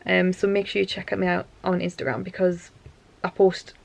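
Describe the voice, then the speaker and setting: A woman's voice: fairly low pitch, flat delivery, moderate pace, vocal fry, dry recording. A young woman, tired and losing her voice, rambling chattily to camera at home at night. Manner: rambling, chatty, self-interrupting. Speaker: vlogger. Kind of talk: casual chatty monologue to camera.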